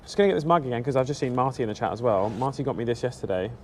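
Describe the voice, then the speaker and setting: A man's voice: medium pitch, expressive, rapid-fire, darkish tone, slight background noise. A man in his thirties with a British accent, chatting casually and self-deprecatingly to viewers on a live stream, talking into a small helmet mic. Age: 30s. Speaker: motorcycle vlogger streaming live. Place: outdoors in central London, in a café stop. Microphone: small action-camera mic on a helmet.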